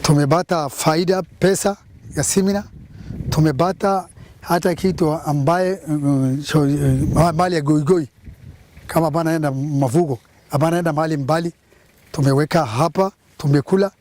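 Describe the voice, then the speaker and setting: East african man in an interview, voice a bit high, stops and repeats words. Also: moderate pace, a little mellow, a little hiss or hum. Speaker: community interviewee. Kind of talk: spontaneous interview testimony.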